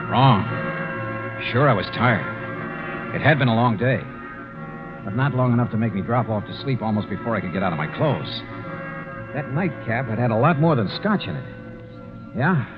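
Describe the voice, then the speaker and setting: An actor playing a shrewd, easygoing insurance investigator in a vintage radio drama, talking in wry, casual slang. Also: male voice, medium pitch, big pitch swings, moderate pace, pauses often, natural voice. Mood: wry narration. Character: shrewd, easygoing male insurance investigator narrating his own case. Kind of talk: 1950s radio detective acting. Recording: dull vintage radio recording with music.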